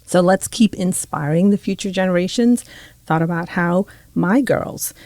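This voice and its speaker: A woman's voice: on the low side, normal speed, darkish tone, dry recording. A woman who is a guest on a podcast panel, speaking reflectively about raising her daughters. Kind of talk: casual panel conversation.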